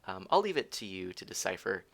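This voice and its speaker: Giggly streamer with a bright, lively voice. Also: male voice, low voice, a little fast, creaky. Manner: giggly and teasing, thinks aloud; rambling with frequent restarts. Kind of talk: casual live gameplay streaming, chatting with viewers.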